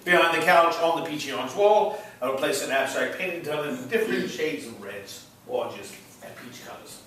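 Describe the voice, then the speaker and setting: A man's voice: on the high side, lively intonation, moderate pace, fairly bright tone. A man reading the part of a pompous, opinionated interior decorator in a play, in an echoey room. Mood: fussy, assured. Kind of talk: script read aloud at a play reading. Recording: echoey room, far from mic, faint noise.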